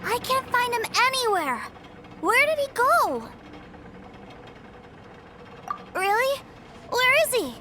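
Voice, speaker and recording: A woman voicing an innocent, excitable little boy in a video game, wide-eyed and exclaiming. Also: extremely high pitch, unhurried, heavy background noise, exaggerated voice. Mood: puzzled. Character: excitable innocent little boy adoring his big brother. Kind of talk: video game character dialogue.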